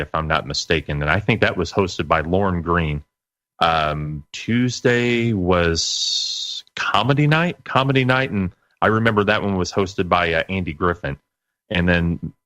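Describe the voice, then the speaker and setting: A man talking casually as a radio interview guest, thinking aloud in a halting way. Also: low voice, fairly bright tone, dry recording. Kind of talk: casual conversational recollection.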